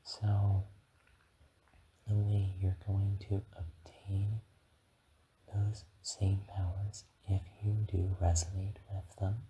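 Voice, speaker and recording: Man playing a soothing dragon guide, ASMR meditation, soft half-whispered delivery, halting phrases, even monotone, faint hiss behind. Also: sits low, rough recording. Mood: calm, explaining.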